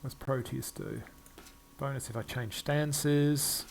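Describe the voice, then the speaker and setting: An older man plays a game and comments as he goes. His mid-pitched voice has a gravelly creak. He reads out and muses haltingly. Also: fairly even intonation, a little hiss or hum. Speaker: gamer playing and narrating a game. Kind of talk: let's-play commentary, reading text aloud and musing.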